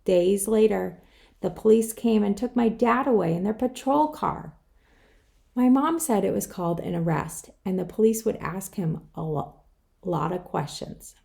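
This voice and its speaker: A woman's voice: mid-range voice, expressive, a little slow, creaky, warm tone. A woman author reading her own children's book aloud, telling it in the first person. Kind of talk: scripted children's story read-aloud.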